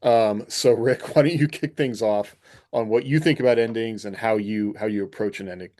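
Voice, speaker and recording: Low-voiced man hosting a casual podcast. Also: fairly even intonation, normal speed, warm tone. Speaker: podcast host. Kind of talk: casual discussion of fiction writing.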